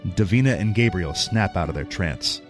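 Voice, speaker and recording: A man's voice: sits low, expressive, normal speed, over music. A man narrating an audio drama from a script as its storyteller.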